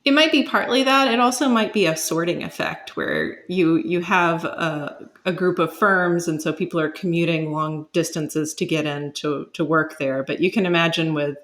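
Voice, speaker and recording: A woman's voice: sits low, moderate pace, few pauses, fairly bright tone. A woman, speaking as an expert guest and explaining ideas conversationally while searching for words.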